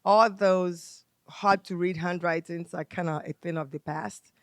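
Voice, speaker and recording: A woman's voice: lowish voice, fairly even intonation, unhurried, dry recording, studio quality. A middle-aged Nigerian woman, a pharmacist guest on a talk show, explaining things patiently and repeating her key points with emphasis.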